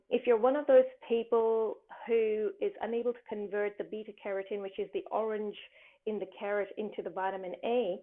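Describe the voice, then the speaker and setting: A woman talks through health tips in a chatty, rambling way, full of fillers and restarts. Her voice is a little croaky from a long day of talking. The sound is thin and phone-like. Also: mid-range voice. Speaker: wellness presenter. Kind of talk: casual health talk.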